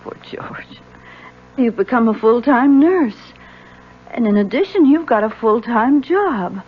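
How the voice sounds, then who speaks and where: An actress playing an ailing, dependent wife, gentle, weary and plaintive, in a 1970s radio drama. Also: female voice, on the high side, lively intonation, unhurried, breathy edge. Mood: weary, grateful. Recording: dull, faintly noisy 1970s radio recording.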